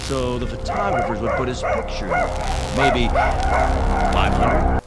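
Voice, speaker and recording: An actor playing a brash, wily older politician in a radio drama, blunt, folksy and self-important. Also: male voice, medium pitch, wildly swooping pitch, slow pace, heavy background noise. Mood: shrewd, haggling.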